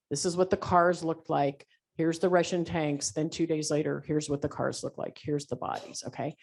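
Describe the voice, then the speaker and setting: A woman speaking as a panelist in a panel discussion, animated and rambling, with frequent fillers. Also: deep voice.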